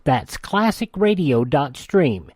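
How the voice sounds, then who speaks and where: A man's voice: fairly high pitch, sing-song, slow, a little mellow, no room sound, clean studio sound. A man hosting a radio show in a folksy, conversational announcer style. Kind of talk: folksy radio announcing and host-read ads.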